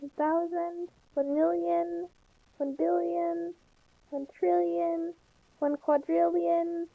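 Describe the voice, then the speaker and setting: A woman giving casual, joking commentary in a wry, halting, exasperated way. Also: very high voice, level tone, creaky. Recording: slightly dull lo-fi voice channel.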